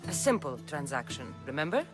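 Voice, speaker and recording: A woman's voice: low voice, sing-song, brisk, over music. An actress playing a tough, cool-headed young mercenary warrior woman in a video game, speaking in a blunt, terse, dry way. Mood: firm, businesslike. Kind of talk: dramatic video game acting.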